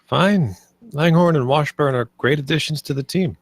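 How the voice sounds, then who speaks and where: A man's voice: a little high, normal speed, no echo, clean studio sound. A man reading the part of a downcast, subdued creative developer at a screenplay table read.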